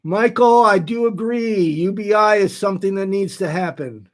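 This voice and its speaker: A man's voice: sits high, moderate pace. A man in his late fifties talking casually and candidly to livestream viewers, earnest and emphatic as he makes his points. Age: late 50s, nearly 60. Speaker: nonprofit founder hosting a livestream.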